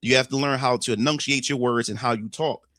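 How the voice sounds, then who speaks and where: A young adult man with a Philadelphia accent, telling a story in a casual, animated monologue, swearing freely and often restarting his phrases. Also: medium pitch, fairly quick, dry recording, studio quality. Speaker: streamer.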